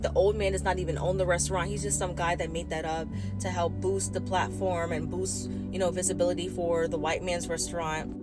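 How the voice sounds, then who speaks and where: A commentator giving gossipy, disapproving commentary. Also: medium pitch. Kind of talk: casual commentary over music. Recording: music playing behind the voice.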